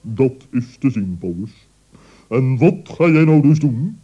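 A man's voice: mid-range voice, lively intonation, takes it slowly, dark tone, husky, muffled recording. A man voicing a stern, fussy old male owl in an old radio play, pompous and pedantic in his corrections. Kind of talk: 1960s radio play acting.

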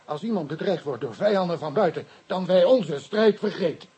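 A man playing a frightened, naive Pygmy mission convert in a radio play, speaking halting, stammering, broken Dutch with inverted word order. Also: sits high, expressive, darkish tone, slightly rough, full character voice. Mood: earnest, confiding.

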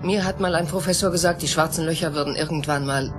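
A woman playing a serious young space scientist in a radio play, speaking in an earnest, measured way. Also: deep voice, over music, lightly acted.

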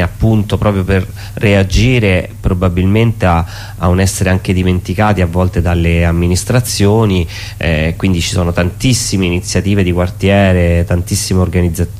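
A man being interviewed as a theatre performer, speaking spontaneously and haltingly, often correcting himself as he thinks aloud. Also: low-pitched, fairly even intonation, darkish tone.

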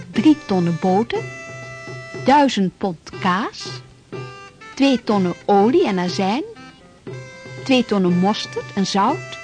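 An actress playing a formal reciter of old historical documents in a scripted radio drama. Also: female voice, medium pitch, darkish tone.

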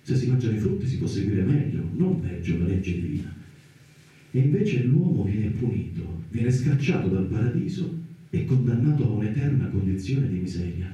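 A man acting a brooding thinker in a radio-theatre monologue, with deliberate, rhetorical phrasing. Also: lowish voice, very animated, warm tone. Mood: brooding, indignant. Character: brooding male thinker questioning scripture and divine punishment. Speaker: actor in a radio theatre piece. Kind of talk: dramatic reflective monologue. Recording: very echoey hall, distant voice. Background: faint music.

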